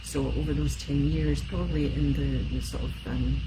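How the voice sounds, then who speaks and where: A Scottish woman in her fifties talks on a live stream in a very low, deep voice. She rambles casually with plenty of ums and uhs. A steady hiss of background noise sits under her voice. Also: normal speed. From Scotland. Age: 50s. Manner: chatty, rambling with many fillers. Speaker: emotional support specialist.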